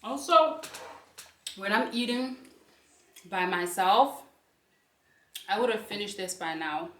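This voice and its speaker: A young woman chats in a slow, unhurried way, talking to her viewers between bites. Her voice echoes around the room. The mic sits some distance from her. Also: medium pitch, lively intonation, a little hiss or hum. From South Africa. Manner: chatty, talks to the camera between bites. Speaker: channel host. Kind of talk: casual chat while eating.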